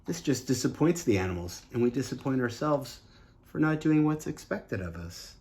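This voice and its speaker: A man's voice: medium pitch, lively intonation, moderate pace, a little mellow, a little hiss or hum. A man reading a picture book aloud as a teacher, earnest and emphatic, with explanatory asides to young listeners. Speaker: teacher and host of a children's read-aloud show.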